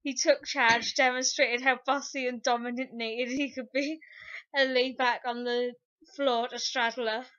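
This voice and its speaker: A woman's voice: sits high, flat delivery, a little slow, a bit bright, dull audio. A woman narrating fan fiction as a comic, theatrical dramatic reading.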